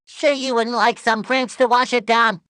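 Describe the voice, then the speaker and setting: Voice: fairly even intonation, normal speed, sharp tone, a little hiss or hum, lightly acted. A performer voicing a pushy, chirpy little cartoon coffee salesman with cheerful menace, in a perky ad-skit delivery. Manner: perky, insistent sales pitch. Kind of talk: old TV ad skit dialogue.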